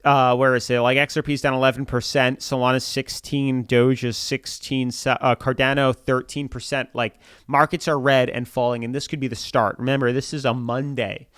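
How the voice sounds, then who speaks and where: A man's voice: mid-range voice, little pitch movement, moderate pace, a bit bright, clean tone, no echo, studio quality. A man hosting a crypto channel, talking through market charts in casual commentary, a little congested from a cold, chatty and repetitive. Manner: chatty, repeats filler "like", pushes viewers to subscribe.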